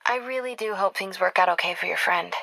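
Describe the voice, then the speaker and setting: Young female reporter for a video game: bright, forward voice, close dry sound, earnest and wry, natural phone-call delivery. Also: lowish voice, fairly quick, gravelly, dry recording, full character voice. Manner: conversational, earnest, confiding.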